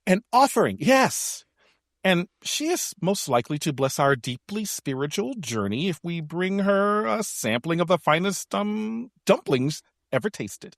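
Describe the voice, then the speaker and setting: A woman playing a theatrical, excitable, food-loving adventurer with a flowery, melodramatic way of talking in a sci-fi audio drama. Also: lowish voice, unhurried, no echo, studio quality, full character voice. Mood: scheming. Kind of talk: science fiction audio comedy drama.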